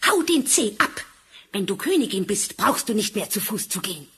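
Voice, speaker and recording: An actress playing a cruel, ambitious stepmother in a fairy-tale audio play, pushy and scornful, with a husky voice. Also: medium pitch, very animated, moderate pace, fairly bright tone, full character voice. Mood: coldly urging.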